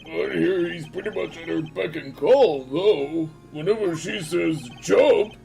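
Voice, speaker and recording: A woman playing a mild, polite male film actor in a fan-dubbed video game, speaking gently and haltingly. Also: lowish voice, creaky. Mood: informative, thoughtful. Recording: prominent music under the voice. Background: music.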